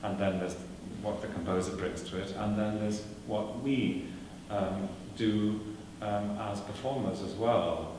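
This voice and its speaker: A man's voice: on the low side, fairly even intonation, background noise. Man speaking in an echoey hall. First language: Welsh. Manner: measured, thoughtful, with many fillers and restarts. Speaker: performer giving a talk. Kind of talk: lecture answering audience questions. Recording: echoey hall recorded from a distance.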